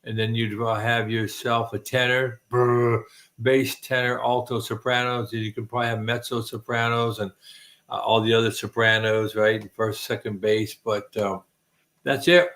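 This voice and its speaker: A man's voice: mid-range voice, flat delivery, normal speed, a bit bright. Rambling middle-aged man, self-mocking. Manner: rambling, many restarts, self-deprecating jokes. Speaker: host. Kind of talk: casual chat.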